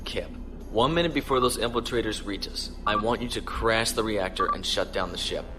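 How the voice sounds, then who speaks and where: An actor playing a calm, commanding starship captain in a measured, authoritative way for an audio drama. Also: male voice, lowish voice, over loud music, close to an everyday voice. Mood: briskly planning.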